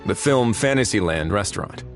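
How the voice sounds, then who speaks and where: An actor playing a laid-back, wry investigator in a video game, casual and considerate. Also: male voice, on the low side, animated, normal speed. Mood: relaxed briefing. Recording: music behind the voice.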